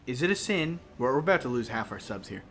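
A man's voice: mid-range voice, big pitch swings, fast talker, fairly bright tone, slight background noise. A young man chatting casually while he plays a video game, teasing and swearing as he banters. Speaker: let's play co-host playing the game. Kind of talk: casual chat while gaming.

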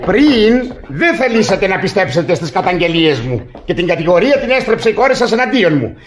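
A man's voice: high voice, sing-song. A man playing a frantic, aggrieved husband in a classic radio farce, exclaiming and repeating words insistently. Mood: indignant, insistent. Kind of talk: theatrical radio comedy acting.

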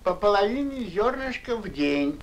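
A man's voice: high-pitched, sing-song, normal speed, noisy background. A man voicing a stingy, self-important old mole with a pompous, grumbling manner in a vintage cartoon. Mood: calculating. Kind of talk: Soviet animated fairy-tale acting.

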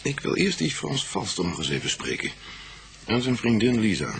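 An actor playing a sceptical, methodical police detective in a radio drama, matter-of-fact and probing. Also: male voice, fairly low pitch, wildly swooping pitch, normal speed, gravelly, heavily acted. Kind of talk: Dutch radio drama acting.

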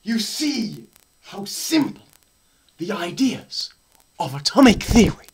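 Slow, theatrical young man with swooping pitch. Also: sing-song, a little hiss or hum, low-quality recording. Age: teens to young adult. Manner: theatrical, emphatic, with deliberate pauses. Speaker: presenter. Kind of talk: dramatised physics lecture read from a book. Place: small bare room indoors. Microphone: camera a short distance away.

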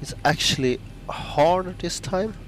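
A man with a Swedish accent talking casually and haltingly while flying a flight simulator at home. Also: sits high, a bit bright, a little hiss or hum. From Sweden. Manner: halting, thinking aloud with restarts. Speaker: flight sim hobbyist. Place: home room with simulator cockpit.

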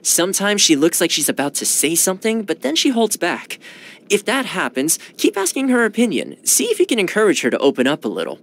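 A young man playing a friendly, earnest housekeeper retainer in a video game, eager, courteous and expressive. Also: sits high, fairly quick, heavily acted. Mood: sincere, conversational. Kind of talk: video game character voice lines. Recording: studio voice over background music. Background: game menu music.

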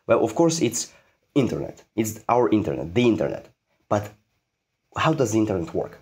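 A man's voice: on the low side, big pitch swings, normal speed, darkish tone, slightly rough. A man giving an informal programming tutorial, repeating phrases for emphasis and posing questions that he answers himself.

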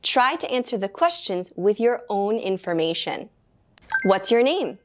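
Young woman, English teacher, unhurried and precise, clip-mic studio read. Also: medium pitch, big pitch swings. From United States. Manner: slow, clearly enunciated teaching delivery. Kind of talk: scripted beginner grammar lesson. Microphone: lapel mic.

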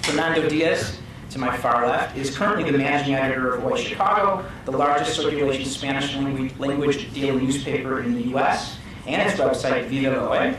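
A man's voice: medium pitch, fairly quick, a bit bright. A man in his thirties moderating a panel discussion, upbeat and friendly, at a conference room table mic. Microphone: table mic on stand. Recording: echoey room, mic at a distance, noisy.

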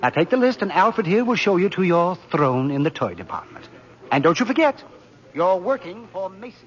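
An actor playing an eager, officious department store manager in brisk 1940s radio style. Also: male voice, high voice, big pitch swings. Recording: dull old radio recording, faint music.

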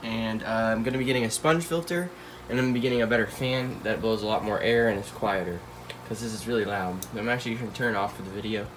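A man's voice: fairly low pitch, fast, hardly any pauses. Teen guy w stuffy nose rambling about his pets, phone mic, faint hum behind. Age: teens. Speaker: pet channel host. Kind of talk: casual pet care vlog. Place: home room full of tanks. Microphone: handheld phone filming tanks.

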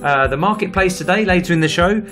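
A man hosting a radio property show, chatting casually with guests and often pausing on fillers and restarts. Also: high-pitched, fairly bright tone, faint music behind. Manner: hesitant, many fillers and restarts.

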